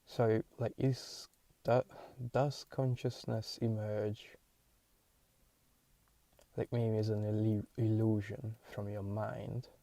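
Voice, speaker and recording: A young man with a Hungarian accent, a podcast host thinking aloud in a halting, searching way with many restarts. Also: lowish voice, little pitch movement, creaky, mellow, no echo. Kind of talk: solo musing monologue.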